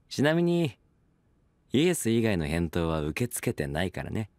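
A man's voice: lowish voice, big pitch swings, slow, a little mellow, dry recording, exaggerated voice. A male actor playing a cool, commanding talent agency boss in an audio drama, unyielding and faintly amused. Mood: cool, insistent. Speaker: agency president.

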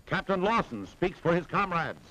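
High-pitched 1940s American newsreel announcer. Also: male voice, big pitch swings, normal speed, sharp tone, a little hiss or hum, poor audio. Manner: dramatic, declamatory. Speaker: newsreel narrator. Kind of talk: 1940s newsreel scripted narration. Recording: old 1940s newsreel soundtrack.

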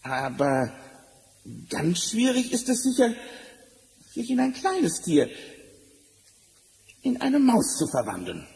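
A man playing a sly, bold talking cat in a fairy-tale audio drama, theatrical and full of flattery. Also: very high voice, takes it slowly, gravelly, pauses often, full character voice. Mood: wheedling. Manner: theatrical, flattering, mock-amazed. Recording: reverberant hall, noisy vinyl transfer.

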